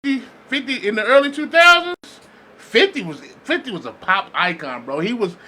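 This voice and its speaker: A man's voice: very high-pitched, big pitch swings, normal speed, bright, forceful tone. A man co-hosting a casual group podcast, arguing in a slangy, insistent way. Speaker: podcast co-host.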